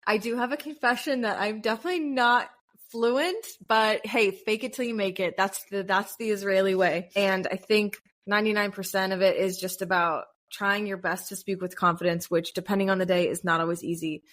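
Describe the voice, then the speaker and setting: Woman, 30s, from the US, podcast guest, casual chat, lively storyteller. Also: brisk, hardly any pauses. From United States. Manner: animated storyteller, bursts into high-pitched acted quotes and laughs.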